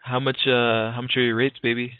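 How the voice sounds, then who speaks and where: A man's voice: medium pitch, flat delivery, fairly quick, smooth, no echo. Hesitant, slangy young man on the phone. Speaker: prank caller. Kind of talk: casual phone prank, feigned sincerity. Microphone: telephone call. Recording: narrow, tinny phone line.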